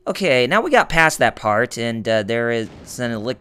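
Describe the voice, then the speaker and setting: Male let's-play host, hoarse and raspy, chatty and rambling; bright tone. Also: mid-range voice, very animated, moderate pace. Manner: rambling, full of fillers and restarts, jokey. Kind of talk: gameplay commentary.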